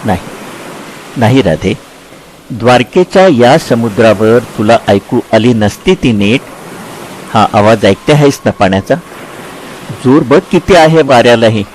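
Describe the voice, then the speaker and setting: A man plays an affectionate old flame in a literary drama. He talks tenderly and teasingly, at a middle pitch, with faint ambient noise behind him. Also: expressive, measured pace, long pauses, a little mellow, close to an everyday voice, low-quality recording. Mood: gentle teasing. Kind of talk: dramatic literary story reading.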